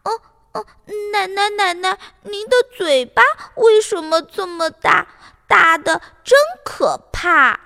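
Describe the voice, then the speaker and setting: A woman's voice: extremely high pitch, slow, gravelly, bright, forceful tone, long reverb tail, voice pushed up, full character voice. A woman voicing an innocent, curious little girl in a children's fairy tale, childlike and polite. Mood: alarmed. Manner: childlike, repeats her calls, wide-eyed questioning.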